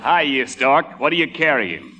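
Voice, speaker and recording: A man's voice: medium pitch, big pitch swings, a little slow, over music. A man voicing a jaded, grumbling stork who talks in slang in a 1940s radio comedy. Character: jaded male stork, fed up with delivering babies. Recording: old radio recording.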